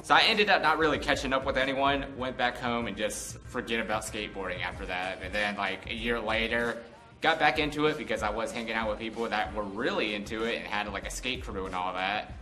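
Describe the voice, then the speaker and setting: A man's voice: on the low side, brisk, sharp tone, soft background music. An American man in his twenties telling a casual, unscripted story in a rambling, conversational way. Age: 20s. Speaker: vlog host.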